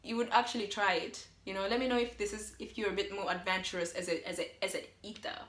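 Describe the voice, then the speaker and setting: A young woman from the western Congo talks straight to camera in a chatty, upbeat vlog. Her voice is bright and forward, and the room around her rings with echo. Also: mid-range voice, fast talker. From western Democratic Republic of the Congo. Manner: chatty and upbeat, encouraging viewers. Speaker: vlogger. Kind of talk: casual talk to camera. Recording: echoey room.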